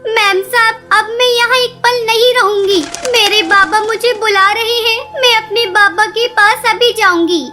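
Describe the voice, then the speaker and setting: Lady doing a tiny eager girl bird for a cartoon, super high voice, bright, pleading and childlike. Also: fairly even intonation, a little slow, hardly any pauses, faint music behind, full character voice. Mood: distressed pleading. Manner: earnest, childlike. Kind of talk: Hindi cartoon story dialogue.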